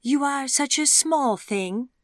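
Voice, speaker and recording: A woman's voice: high-pitched, animated, slow, no room sound, studio quality, voice pushed up. Woman voicing haughty glamorous foreign woman. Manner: blunt, condescending, foreign-accented speech.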